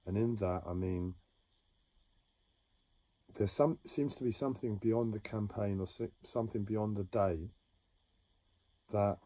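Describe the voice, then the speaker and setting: A man talking slowly and haltingly about his own experiences in a reflective monologue, with many pauses and restarts. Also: fairly low pitch, mellow, dry recording. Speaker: livestreamer sharing a personal story. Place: home.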